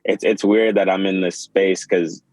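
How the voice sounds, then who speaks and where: Low, flat-voiced young man talking haltingly. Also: no echo. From born in Sudan, raised in Kenya. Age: 20s. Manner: halting, many fillers and restarts. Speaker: podcast guest, clothing designer. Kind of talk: casual interview.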